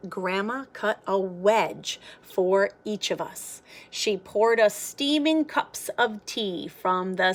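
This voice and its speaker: A woman reading a children's picture book aloud like a teacher, with expressive, dramatic delivery. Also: mid-range voice, slight background noise. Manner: expressive, dramatic sound words and hushed moments.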